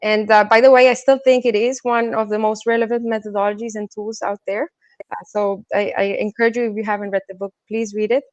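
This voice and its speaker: A woman's voice: medium pitch, flat delivery, a little fast, darkish tone, dry recording, lo-fi sound. Woman, dry close sound, interview guest. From Colombia, also raised in the States and China. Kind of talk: casual conversational interview answers.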